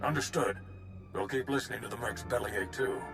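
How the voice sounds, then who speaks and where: A man voicing a brisk, dependable soldier in a video game, in clipped military radio talk. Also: on the low side, creaky. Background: game music.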